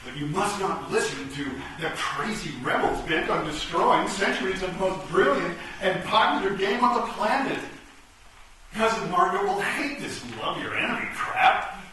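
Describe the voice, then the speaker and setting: A man playing a scornful, warmongering chess piece in a radio play, pompous and oratorical. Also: sits high, normal speed. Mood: scornful persuasion. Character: scornful, warmongering male chess piece, old-order loyalist. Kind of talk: live radio play acting. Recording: echoey noisy stage, far from mic.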